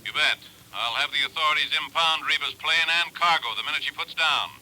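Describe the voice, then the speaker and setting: A brisk, authoritative intelligence chief in a vintage radio drama. The voice is slightly high and extremely sharp and forceful. The words come very quickly. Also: male voice, poor audio. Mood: brisk, businesslike.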